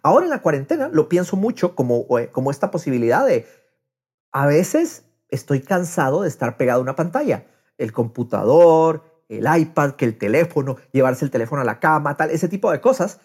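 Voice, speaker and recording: Animated higher-pitched man, slightly husky. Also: a little fast. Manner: drops English phrases into Spanish. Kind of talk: casual conversational podcast talk.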